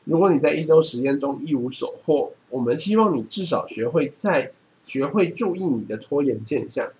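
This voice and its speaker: A man with a Taiwanese Mandarin accent, a podcast co-host, reads aloud and comments casually in an easygoing, measured way. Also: on the high side, lively intonation, a little mellow, poor audio.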